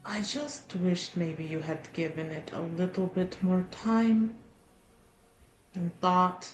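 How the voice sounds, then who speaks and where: A woman speaking deliberately, with pauses between phrases, as she narrates and roleplays in a tabletop game. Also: on the low side. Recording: roomy echo, faint music behind. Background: faint music.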